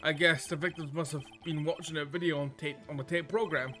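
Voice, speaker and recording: A man's voice: on the high side, fairly even intonation, a little fast, pushed and bright. A man voicing a nervous, evasive male witness in a visual novel game, hesitant and stammering as he over-explains. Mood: flustered. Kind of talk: visual novel game dialogue read aloud. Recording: game music behind the voice.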